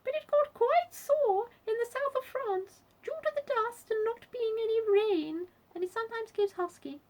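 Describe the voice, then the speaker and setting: A woman voicing a cheeky monkey posing as a polite duchess in a squeaky storybook voice. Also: moderate pace, a little mellow, low-quality recording. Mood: squeaky, apologetic. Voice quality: squeaky, sometimes breaking into gruff. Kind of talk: children's storybook character voice.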